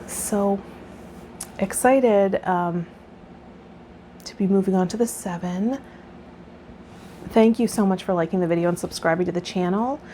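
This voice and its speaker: Woman doing tarot vlog, mellow dark tone, medium pitch, bit of noise behind her. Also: unhurried, long pauses. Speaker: tarot reader and spiritual healing coach hosting a channel. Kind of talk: tarot lesson, partly read aloud, with guided meditation and casual vlog talk.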